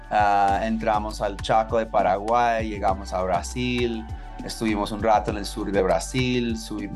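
A man from the United States speaking Spanish with an American accent, telling his life story in a halting way with many restarts. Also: lowish voice. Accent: American English. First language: English. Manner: halting, restarts words, chuckles at himself. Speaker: entrepreneur interviewee. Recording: guitar music underneath.

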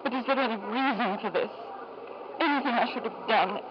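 Actress as a worried mother, high-pitched. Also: fairly quick, close to an everyday voice. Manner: emotional, plaintive. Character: worried, fragile, devoted mother and wife. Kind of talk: 1950s radio drama acting. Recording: muffled, noisy 1950s radio broadcast.

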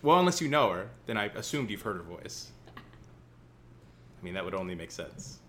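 A young podcast co-host with a Central Illinois accent, loose and playful, laughing easily. His voice is bright and sits a little low, with lively swings in pitch. Faint room ambience hangs behind him. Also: normal speed, a little hiss or hum. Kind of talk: casual podcast chat.

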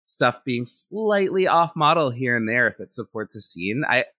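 A man, an animator and director, talking in a relaxed interview and thinking out loud with lots of "like" fillers. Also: mid-range voice, moderate pace, no echo. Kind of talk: casual interview conversation.

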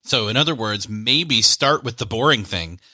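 A man's voice: mid-range voice, expressive, normal speed, pushed and bright, no echo, studio quality. A man hosting a podcast, reading a sponsor spot and asking long, rambling questions that think aloud. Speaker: podcast host. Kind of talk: conversational interview and read ad.

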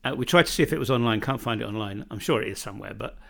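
A man's voice: medium pitch, very fast, vocal fry, fairly bright tone. British man rambling in casual chat. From Britain. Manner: rambling, restarts sentences often. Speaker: podcast co-host. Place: home in northern Bosnia.

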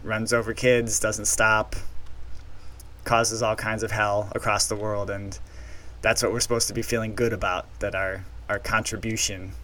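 A man's voice: lowish voice, level tone, measured pace, bright, forceful tone, slight background noise. A man hosting a solo podcast, talking casually and sounding worn out as he wraps up. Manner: casual, rambling, tired. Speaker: podcast host.

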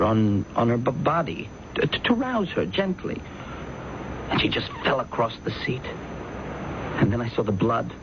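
An actor playing a timid, flustered office worker in a radio drama, hesitant, deferential and stammering. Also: male voice, mid-range voice, creaky, full character voice. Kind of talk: old-time radio drama acting. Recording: dull, noisy old radio broadcast.

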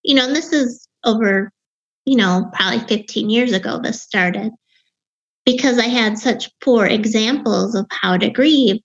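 Woman, 50s, Wisconsin accent, young-sounding, highish voice, reflective, dull lo-fi recording. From Wisconsin, USA. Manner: reflective, with halting restarts. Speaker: author and grief guest. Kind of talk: personal storytelling in an interview.

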